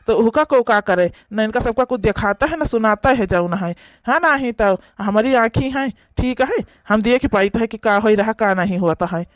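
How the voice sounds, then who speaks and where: A man's voice: very high-pitched, fairly bright tone, no echo. A man voicing an indignant young brother in a village family cartoon, arguing and scolding with pointed questions to protect his sister. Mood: defiant. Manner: argumentative, scolding, rhetorical questions. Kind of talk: rural family cartoon dialogue.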